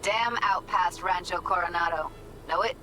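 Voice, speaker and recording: An actress playing a wry, guarded young American street techie in a video game, with slangy, clipped talk. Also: female voice, on the low side, normal speed, bright, forceful tone, a little hiss or hum. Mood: teasing. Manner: slangy, clipped, teasing, breaking into breathy asides. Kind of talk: video game dialogue acting.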